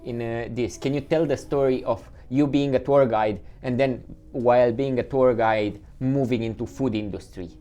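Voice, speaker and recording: Mellow-voiced podcast host asking questions. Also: male voice, mid-range voice, steady tone. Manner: friendly, quick questions with fillers. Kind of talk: casual podcast interview.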